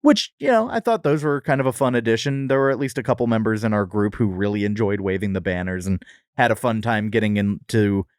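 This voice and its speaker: A man's voice: on the low side, a little fast, clean tone, dry recording, studio quality. A man co-hosting a podcast, giving a wry, descriptive review in casual, comedic conversation. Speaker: podcast co-host.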